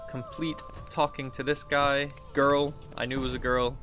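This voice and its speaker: A man's voice: medium pitch, flat delivery, moderate pace, music in the background. A man in his thirties giving casual, rambling let's play commentary, thinking aloud as he plays. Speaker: gaming video host. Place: indoors at a computer desk.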